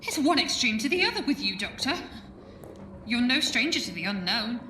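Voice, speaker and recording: A woman's voice: on the high side, very animated, moderate pace, bright, forceful tone, slight background noise. An actress playing a tough, sardonic, embittered woman survivor in an audio drama, speaking bluntly. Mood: wry. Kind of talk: full-cast audio drama acting. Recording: roomy sound with music behind.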